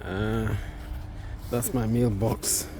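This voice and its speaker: Slow-talking, husky young man vlogging. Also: fairly low pitch, steady tone, background noise. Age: young adult. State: hoarse from a sore throat. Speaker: vlogger, content creator. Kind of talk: casual vlog chat to viewers. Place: outdoors, quiet residential estate street.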